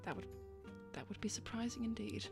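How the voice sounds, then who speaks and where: A woman's voice: on the high side, vocal fry, faint music behind. A woman playing a prim, serious young female cat in an improvised cartoon role-play, speaking formally, politely and somewhat sternly. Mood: polite, composed. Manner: formal, proper, measured.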